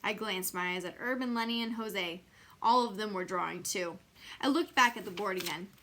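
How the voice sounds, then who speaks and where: A woman's voice: medium pitch, moderate pace, sharp tone, a little hiss or hum, lightly acted. A woman voicing a spunky, blunt first-grade girl who tells her own story with dramatic emphasis. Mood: puzzled, dramatic. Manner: dramatic, emphatic, childlike phrasing. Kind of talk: children's storybook read-aloud in first person.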